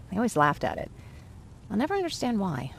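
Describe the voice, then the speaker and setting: A woman's voice: mid-range voice, huge pitch swings, brisk, vocal fry, heavily acted. An actress playing an earnest, talkative young woman who survived a war, in a video game. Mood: wistful, chatty. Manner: candid, chatty. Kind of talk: naturalistic video game acting.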